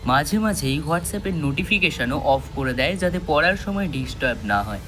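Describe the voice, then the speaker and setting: A male narrator with a slightly high voice tells a thriller story. He phrases it dramatically, with measured pauses, over soft background music. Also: expressive.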